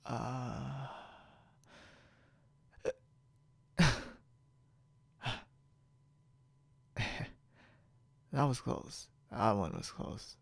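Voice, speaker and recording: A man playing a stubborn, boastful, confused drunk young man in an ASMR roleplay, halting and rambling with mumbled, repeated words. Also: medium pitch, no echo, full character voice. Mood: confused, woozy. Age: young adult. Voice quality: gravelly, creaky.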